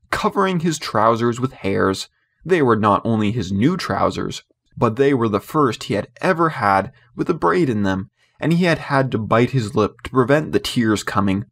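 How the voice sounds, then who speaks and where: A man reading a classic children's novel aloud as scripted audiobook narration. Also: mid-range voice. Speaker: audiobook reader.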